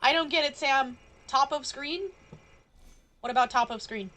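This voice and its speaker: A woman's voice: sits high, normal speed, fairly bright tone, poor audio. A woman game streamer reacting casually on a live stream, joking and self-deprecating. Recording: game music behind the voice.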